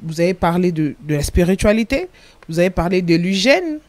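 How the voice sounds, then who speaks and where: A woman's voice: lowish voice, big pitch swings, brisk, darkish tone, no room sound. Woman interviewer, dry close sound. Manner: summarizes and rephrases the guest's points. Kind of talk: talk show interview.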